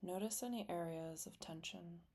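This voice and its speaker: Low-pitched woman guiding a slow meditation. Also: breathy edge. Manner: gentle, soothing, deliberate with long pauses. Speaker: meditation guide. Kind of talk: guided meditation, scripted and slow.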